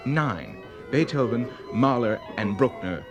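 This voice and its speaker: Male, stubborn know-it-all store clerk, mid pitch, emphatic, 1980s radio play, music underneath. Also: sing-song, slow pace. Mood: pedantic, firm. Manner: emphatic, pedantic. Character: pedantic, unyielding record shop clerk. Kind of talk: 1980s radio drama acting.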